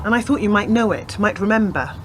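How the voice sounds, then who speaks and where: A serious, probing woman voiced by an actress in a radio play. Her voice sits at an average pitch. The amateur recording carries faint background ambience. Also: expressive, quick pace, background noise, lightly acted.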